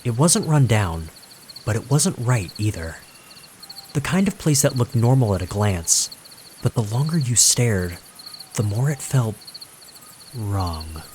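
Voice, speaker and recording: Male horror narrator, scripted, suspenseful, unhurried, lowish pitch, faint insect ambience. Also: sing-song, a little mellow, slight background noise. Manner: measured, suspenseful, dramatic pauses.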